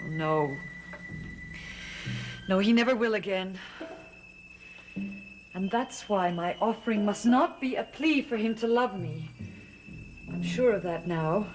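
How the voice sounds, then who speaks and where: A woman's voice: medium pitch, animated, slow pace, long pauses, darkish tone. An actress playing a jealous, vengeful young woman in a 1970s TV soap opera, brooding and intense. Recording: music score behind voice.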